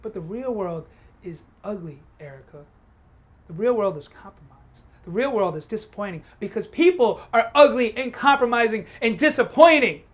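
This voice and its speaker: Defensive, driven female playwright, short film drama. Rough, husky voice, halting, profane delivery. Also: on the low side, expressive, a little mellow. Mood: bitter. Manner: halting, profane, self-justifying. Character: defensive, ambitious playwright fleeing a long relationship.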